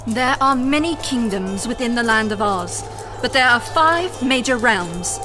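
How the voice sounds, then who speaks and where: A woman's voice: a little high, expressive. An actress playing a kindly, commanding good witch who speaks formally in an audio drama. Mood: grave, explaining. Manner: authoritative, formal, measured. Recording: music under the voice.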